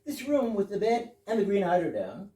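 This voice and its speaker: Roomy, distant, dark-voiced formal gentleman, acted by a man. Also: high voice, sing-song. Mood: growing astonishment. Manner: formal, deliberate, mock-astonished. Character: polite British husband, a formal gentleman puzzled by coincidences. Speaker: amateur readers theater actor. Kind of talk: absurdist stage comedy reading. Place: live stage reading in a room. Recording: roomy, distant mic with clear noise.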